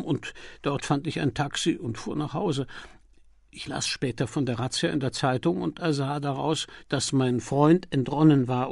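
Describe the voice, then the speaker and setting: A man's voice: a little high, gravelly, a little mellow, slightly rough, no echo, studio quality, heavily acted. A man voicing a timid, prim and apologetic male witness in an audiobook, earnest and easily flustered. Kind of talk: audiobook character dialogue.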